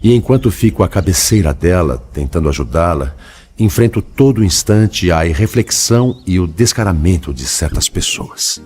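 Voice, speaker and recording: An actor playing a sorrowful, resigned male spirit and devoted father in an audio drama, with measured, dramatic delivery. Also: low voice, expressive, a little mellow. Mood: troubled, resolute. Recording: clearly noisy background.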